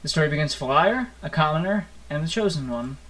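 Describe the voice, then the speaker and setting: A man's voice: on the high side, lively intonation, fast, fairly bright tone, rough recording. A man reading a visual novel aloud as a gaming host, with theatrical, playful narration and offhand asides. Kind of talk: expressive storybook-like narration with casual asides. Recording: music playing behind the voice. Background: plucked guitar game music.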